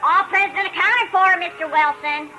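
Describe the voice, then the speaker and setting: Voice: very high-pitched, normal speed, bright, forceful tone, faint music behind, full character voice. A performer voicing a cheeky, boyish male ventriloquist's dummy in a 1950s radio drama. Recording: old radio recording.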